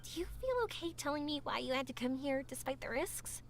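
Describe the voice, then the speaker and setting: High-voiced woman streamer reading dialogue. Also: brisk, slight background noise. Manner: gentle reading, loud excited reactions. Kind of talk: reading game dialogue aloud.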